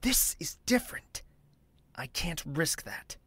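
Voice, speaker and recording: A woman playing a conflicted, earnest, loyal friend in an audio drama, with a husky voice. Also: sits low, big pitch swings, slow pace, fairly bright tone, no echo, full character voice. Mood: defensive.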